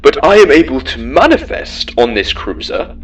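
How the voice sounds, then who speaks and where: A man's voice: medium pitch, very animated, normal speed, husky, far from the mic, voice pushed up. A man voicing a sarcastic, camp AI caretaker in an audio drama, with formal, arch and precise phrasing. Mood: arch, composed.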